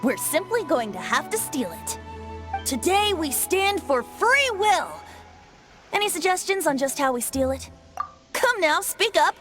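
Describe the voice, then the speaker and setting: Boy bard for fantasy game: high, very animated, theatrical, sly and cajoling. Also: unhurried, heavily acted. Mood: sly, persuasive. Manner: playful, grandiose, cajoling. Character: cheeky, theatrical young male bard, a god in disguise. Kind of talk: fantasy video game voice acting. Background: background music.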